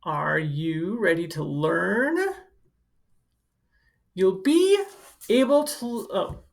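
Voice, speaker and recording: Female school counselor, lowish pitch with heavy creak, reading a children's book with chatty explanations. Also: expressive, very slow. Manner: instructive, speaking directly to children. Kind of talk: picture book read-aloud with informal asides to children.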